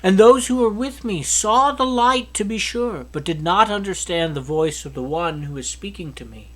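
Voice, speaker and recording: Male Bible reader, notably high voice, expressive scripted narration. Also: normal speed.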